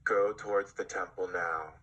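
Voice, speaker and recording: A man leading a guided meditation with a husky, breathy voice, giving hypnotic, directive instructions with long pauses. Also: low-pitched, steady tone, sharp tone, muffled recording.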